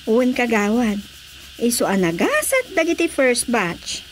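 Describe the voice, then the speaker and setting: An actress playing a brisk, businesslike female recruiter with a smooth sales manner and a Filipino accent in a radio drama. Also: on the high side, huge pitch swings, soft background music. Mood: confident.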